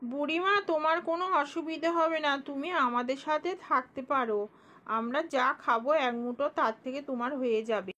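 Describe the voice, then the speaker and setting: A woman's voice: sits high, fairly quick, bright, forceful tone. A woman voicing a caring, anxious farmer's wife in a cartoon folk tale.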